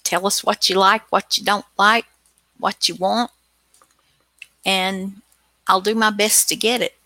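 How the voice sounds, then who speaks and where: A woman's voice: medium pitch, fairly even intonation, a little slow, bright, forceful tone, dry recording. A woman hosting a radio show in casual chat, with a folksy, teasing manner.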